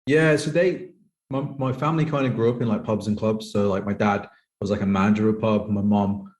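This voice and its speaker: A man's voice: on the low side, fairly even intonation, a little fast, a little mellow. A thirty-year-old British man chatting casually as an interview guest, telling personal stories in a rambling way with lots of "like". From United Kingdom. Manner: rambling, peppered with "like". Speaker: podcast guest, professional poker player.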